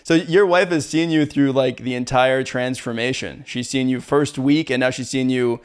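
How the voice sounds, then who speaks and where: A man's voice: mid-range voice, steady tone, moderate pace, clear, resonant tone. A man hosting a casual interview, chatty and loose, with many fillers and easy laughs. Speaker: interview host.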